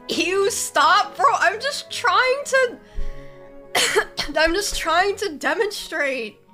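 A young woman talks casually to her stream from a bedroom setup, her voice high and congested. She sounds playfully exasperated as she jokes with her chat. Faint music plays behind her. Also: lively intonation, very slow, sharp tone. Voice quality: nasal, congested.

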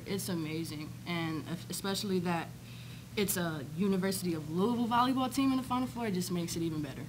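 A woman's voice: lowish voice, background noise. A young woman basketball player answering at a postgame press conference, upbeat and peppered with filler ums, into a desk microphone. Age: young adult. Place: press conference room, indoors. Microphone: desk microphone on stand.

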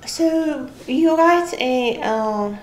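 A woman's voice: high voice, lively intonation, drawn-out words. A woman from Syria, Arabic her first language, answering interview questions thoughtfully in an office, restarting often. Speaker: interviewee, computer scientist. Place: office with desk, indoors. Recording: roomy office echo, faint hiss.

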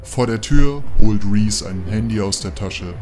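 Male narrator, lower pitch, scripted audio drama storytelling, prominent music bed. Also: measured pace.

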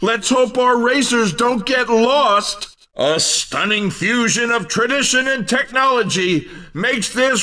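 An actor playing an excitable, over-the-top race commentator in a video game, calling out showy, punning hype. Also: male voice, very high-pitched, recorded from a distance, full character voice.

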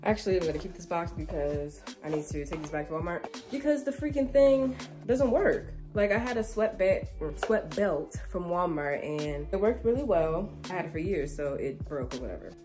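A young American woman with a fairly low voice talks in a casual, slangy way while busy with chores. She is some way from the mic in an echoey room, and music plays clearly behind her. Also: lively intonation, normal speed. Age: 20s. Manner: informal, slangy, talking while doing chores. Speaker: home and cleaning vlogger. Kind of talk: casual chat to camera. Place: inside house rooms, bedroom and basement. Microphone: camera or phone set at a distance. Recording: roomy home echo, distant mic, background music.

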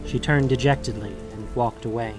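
A man's voice: mid-range voice, fairly even intonation, moderate pace, over music. A man reading scripted narration for a fantasy audio drama. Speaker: narrator and podcast host.

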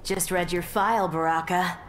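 A woman's voice: lowish voice, fairly even intonation, moderate pace, natural voice. An actress playing a cocky young female soldier in a fighting game, with an American accent and a casual, punchy delivery. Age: young adult. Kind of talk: video game fighter banter. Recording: game ambience noise behind.

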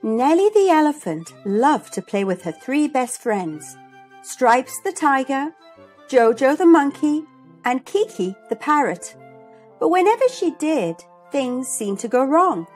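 A woman reads a picture book aloud for children as host. Her voice is high and animated, and she takes the words slowly and expressively. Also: slightly rough. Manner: expressive, deliberate. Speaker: host and storybook reader. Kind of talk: scripted children's story read-aloud. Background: music.

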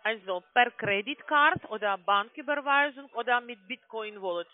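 A man with a foreign accent in his German, working as a call-centre sales agent, halting and often asking for things to be repeated. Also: extremely high pitch, no echo. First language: not German. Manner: halting, often asks for repetition. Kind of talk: scripted phone sales pitch. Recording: narrow tinny phone line.

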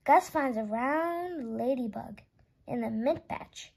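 A woman reading a children's picture book aloud in an expressive way, stretching words for young listeners. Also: fairly high pitch, slow, vocal fry, breathy edge.

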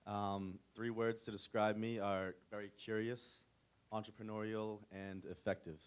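A man's voice: on the low side, level tone, unhurried, clear, resonant tone. A man in his twenties from Boston, a recent graduate, introduces himself in a matter-of-fact way. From Boston, Massachusetts. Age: 20s. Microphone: handheld microphone.

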